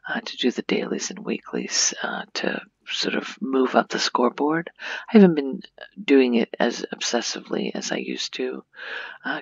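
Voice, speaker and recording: A soft-spoken woman guides a game tour in ASMR style, her breathy voice often falling to a whisper. She rambles along without hurry, and her phrases swing noticeably in pitch. The sound is dry and a little muffled. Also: no echo. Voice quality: breathy, creaky. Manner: unhurried, rambling, thinking aloud. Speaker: gaming ASMR host.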